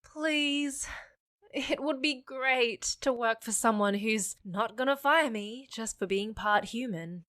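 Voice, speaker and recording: Woman doing wry candid half-human gal in a podcast drama, highish voice, slow, kinda awkward. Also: fairly bright tone. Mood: earnest. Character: frank, wry half-human woman, pun-loving job seeker. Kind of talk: fiction podcast acting.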